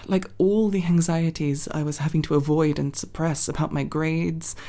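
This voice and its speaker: A young woman playing a guarded but tender tomboy friend in an ASMR roleplay, speaking intimately and haltingly, with sincere, teasing, casually sweary delivery. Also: low voice, steady tone, mellow. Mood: guilty, confiding. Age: young adult. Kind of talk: ASMR roleplay, intimate one-sided dialogue.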